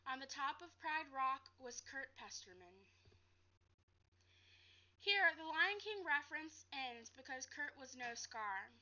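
A young woman reads a children's novel aloud in a high, bright voice. She goes carefully and sometimes repeats a phrase. The recording is dull and lo-fi, as from a webcam in a living room. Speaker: teacher reading aloud. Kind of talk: storybook read-aloud. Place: living room, seated on a couch. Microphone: webcam at a short distance.

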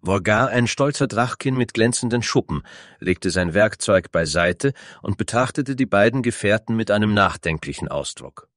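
Deep-voiced man narrating a story. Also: expressive, moderate pace, a bit bright, dry recording, studio quality. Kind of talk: scripted fantasy story narration.

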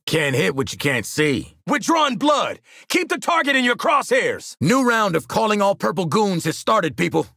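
A man's voice: a little high, gravelly, bright, forceful tone, dry recording, clean studio sound, heavily acted. A man playing a cocky, wry mercenary marksman in a video game, streetwise and sardonic, barking commands to his squad and swearing freely. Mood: urgent, commanding.

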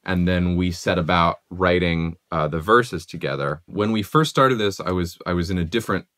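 Low-voiced young man, dry and close. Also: normal speed, no room sound. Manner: relaxed, conversational, many "like" fillers. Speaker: music producer. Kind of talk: explaining a song's production. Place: home music studio with guitars and keyboards.

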